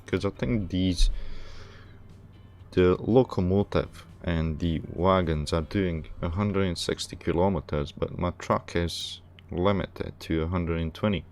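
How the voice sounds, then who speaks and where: Low-voiced, slow-talking male game streamer. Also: darkish tone. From Romania. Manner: hesitant, halting, thinking aloud. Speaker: livestream host playing a game. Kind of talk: casual gaming livestream chat.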